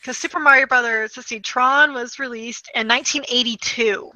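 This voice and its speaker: A woman co-hosting a podcast, chatting casually and telling stories in an animated way. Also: on the high side, normal speed, no room sound, low-quality recording. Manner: animated storytelling with acted-out quotes. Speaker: podcast co-host. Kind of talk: casual chat about films and video games.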